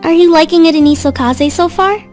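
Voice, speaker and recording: Very high-pitched shy schoolgirl. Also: brisk, a little mellow. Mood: gentle. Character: shy, sweet, polite teenage schoolgirl in an anime game. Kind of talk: visual novel voice acting. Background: game music.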